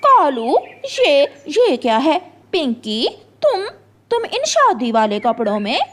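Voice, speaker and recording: Woman as a high-pitched, jealous cartoon crow wife. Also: wildly swooping pitch, takes it slowly, a bit bright, faint music behind, exaggerated voice. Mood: shocked, outraged. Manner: melodramatic, indignant. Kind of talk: Hindi cartoon story acting.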